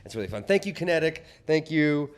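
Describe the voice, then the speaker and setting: A man's voice: a little high, sing-song, a little fast. Rambling Southern man co-hosting a talk show. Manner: rambling, self-correcting, chatty. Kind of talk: casual chat. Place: studio.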